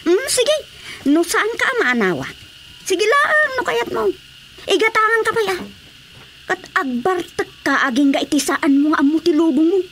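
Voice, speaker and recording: A woman's voice: extremely high pitch, big pitch swings, moderate pace, fairly bright tone, exaggerated voice. A woman with an Ilocano accent playing an anxious, nagging woman in a radio drama. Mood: angry, sarcastic. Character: anxious, scolding woman fussing over an ailing drinker. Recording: faint background noise.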